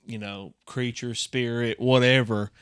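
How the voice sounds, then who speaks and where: A man's voice: mid-range voice, steady tone, a little slow, fairly bright tone, clear, resonant tone, no echo, clean studio sound. A man co-hosting a podcast, thinking aloud in a tentative, casual way as he discusses a horror story. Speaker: podcast co-host.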